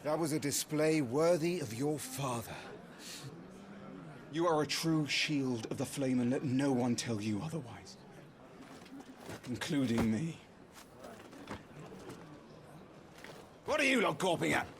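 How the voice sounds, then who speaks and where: A man's voice: medium pitch, animated, measured pace, pauses often, a crowd in the background. A man playing a seasoned, formal swordmaster in a fantasy video game, teasing and instructing a young lord in a theatrical manner. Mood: proud, gruff.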